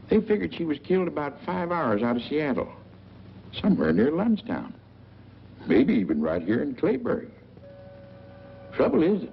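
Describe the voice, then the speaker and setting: Gravelly, animated folksy townsman. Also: fairly high pitch, full character voice. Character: folksy, talkative small-town man, friendly and helpful. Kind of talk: 1940s film acting. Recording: dull old film soundtrack.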